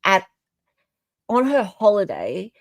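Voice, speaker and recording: A woman's voice: medium pitch, huge pitch swings, takes it slowly, gravelly, no room sound. An Australian woman hosting a live stream in casual, opinionated chat, often checking for agreement as she goes.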